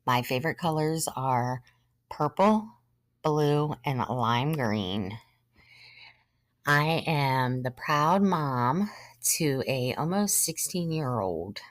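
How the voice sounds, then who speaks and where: A woman in her thirties, a podcast host sharing her own story in an earnest, measured way, sometimes short of breath and pausing. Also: extremely low pitch, lively intonation, no echo. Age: 30s. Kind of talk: personal testimony monologue.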